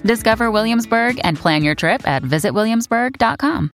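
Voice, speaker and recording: Woman, ad voice-over, slow airy lilting read, high and very melodic, soft music under. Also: expressive. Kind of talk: scripted tourism ad read.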